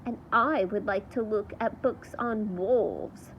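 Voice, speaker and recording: A woman's voice: mid-range voice, slow pace, highs cut off, slight background noise. A woman voicing a bookish, polite young orphan boy in a children's storybook. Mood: eager, informative.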